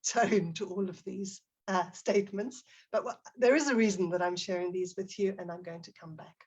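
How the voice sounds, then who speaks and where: A woman's voice: mid-range voice, husky. A woman with a South African accent presents a live online teaching webinar, prompting her audience and reading out their chat replies in a friendly way. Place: home office near Barcelona.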